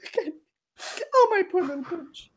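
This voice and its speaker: A woman's voice: very high-pitched, lively intonation, normal speed. A woman playing a gushing, tearful society lady who worries over her pet in a tabletop game, repeating herself in exclamations. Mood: tearful relief.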